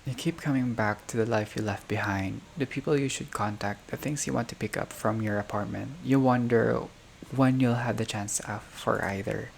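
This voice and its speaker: A gamer with a creaky, medium-pitched voice reads a visual novel aloud. The delivery is halting, moving between narration and offhand comments to self. There is noise behind the voice in what sounds like a homemade recording. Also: steady tone. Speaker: gaming video creator playing a visual novel. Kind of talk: reading game text aloud with casual reactions. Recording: clearly noisy home recording, unstable audio.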